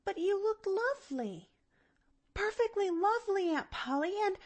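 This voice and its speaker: A woman voicing an eager, earnest, excitable young girl in an audiobook, with gushing, pleading delivery. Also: on the high side, slow pace, pitched above the performer's own voice, heavily acted. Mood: pleading, upset. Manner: gushing, breathless exclamations and pleading. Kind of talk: audiobook character voice.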